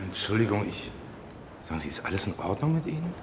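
An actor in a radio drama playing a brooding, resentful loner in clipped inner monologue. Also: male voice, lowish voice, very animated, fast talker, noisy background. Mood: hesitant, concerned. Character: Torge, brooding, resentful, menacing loner ex-marksman.

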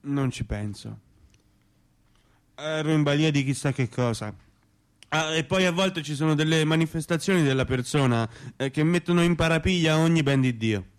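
Man playing a higher-voiced, melancholy homebody. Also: normal speed, natural voice. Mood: pompous.

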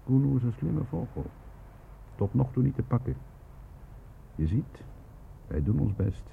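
An actor playing a shrewd police inspector in a Dutch radio play, with a clipped, dryly ironic way of talking. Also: male voice, mid-range voice, big pitch swings, unhurried, vocal fry, full character voice. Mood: dry, knowing. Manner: clipped questioning, dry irony. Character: shrewd, dry-witted male police inspector, brusque but helpful. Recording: muffled, noisy old radio play recording.

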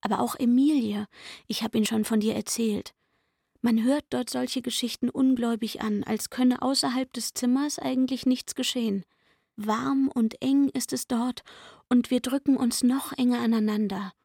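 High husky young woman, coaxing. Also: steady tone, normal speed, darkish tone, no echo, clean studio sound. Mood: eager, wistful. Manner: coaxing, confiding, eager. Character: Peppi, young working girl, coaxing, wistful, confiding. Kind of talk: literary radio play acting.

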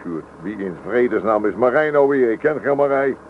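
A man's voice: mid-range voice, animated, normal speed, close to an everyday voice. A man playing a stern, thrifty middle-aged farmer father in a Dutch radio play, blunt and firm. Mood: stern, stubborn. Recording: noisy tinny old radio recording.

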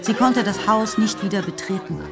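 A woman's voice: medium pitch, moderate pace, a crowd in the background. A woman narrating a literary radio play in a scripted storytelling style. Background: music.

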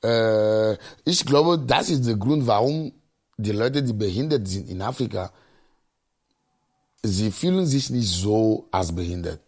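A man who is not a native German speaker reflects personally in short, halting phrases. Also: lowish voice, expressive, a little mellow.

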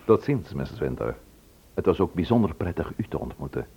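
An actor playing a courteous, probing chief inspector in a radio play. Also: male voice, sits low, big pitch swings, brisk, vocal fry, heavily acted. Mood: polite. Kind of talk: Dutch radio drama acting. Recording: muffled old radio play recording.

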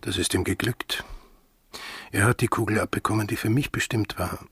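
An actor playing a brooding, sardonic, defiant sailor in a radio drama, speaking tersely in a husky, gravelly voice. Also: male voice, lowish voice, fairly even intonation, moderate pace, a bit bright, no room sound. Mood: brooding, resolute.

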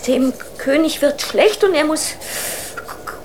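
High-voiced imperious princess girl. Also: takes it slowly, a little hiss or hum. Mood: insistent, grand. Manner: grandly formal, decisive, stumbles on words. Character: imperious royal child, princess of a hard-up family. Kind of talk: German children's radio play acting.

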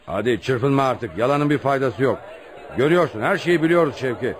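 Casting: male actor as authoritative police chief for radio drama, medium pitch, measured, pressing interrogator's manner. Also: expressive, close to an everyday voice. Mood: pressing, stern. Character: firm, patient male police superintendent interrogating. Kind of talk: Turkish radio theatre acting.

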